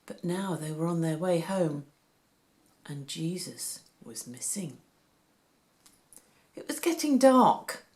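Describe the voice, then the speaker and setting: Woman reading a kids bible story, takes it slow, voice goes up and down loads. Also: lowish voice. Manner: deliberate, animated, emphatic delivery. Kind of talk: expressive read-aloud storytelling for children.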